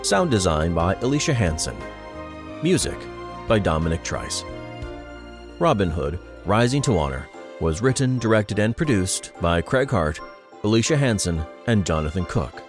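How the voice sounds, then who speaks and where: A male announcer with a deep voice and lively, varied intonation reads scripted credits. Music plays clearly behind him. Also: moderate pace.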